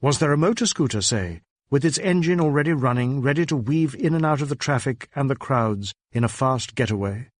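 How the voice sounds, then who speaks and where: A male audiobook narrator with a medium-pitched voice reads crime fiction in a measured, suspenseful way. The sound is dry, with very little room in it. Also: lively intonation, no echo. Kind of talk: scripted narration of a crime novel.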